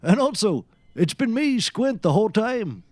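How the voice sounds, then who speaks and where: A man's voice: high voice, big pitch swings, measured pace, darkish tone, dry recording, heavily acted. A man playing a brash, scheming, theatrical sidekick in a scripted audio comedy, bossy and mock-grand as he teases. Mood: scheming, excitable.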